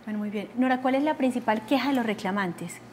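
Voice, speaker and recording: A woman's voice: fairly high pitch, quick pace, slight background noise. A woman hosting a call-in television programme. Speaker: TV host.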